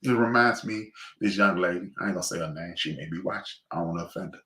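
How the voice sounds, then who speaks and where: A man's voice: low-pitched, quick pace, reverberant. A man with a Chicago accent hosting a casual livestream monologue, rambling and preachy, full of fillers and restarts. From Chicago West Side. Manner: rambling, preachy, many fillers and restarts, shout-outs to friends. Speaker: livestream host.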